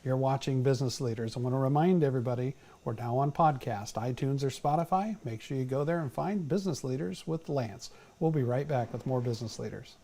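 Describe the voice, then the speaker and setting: A man's voice: medium pitch, a little fast, few pauses, warm tone. A man hosting a business talk show, chatting easily with his guest and reading out show announcements.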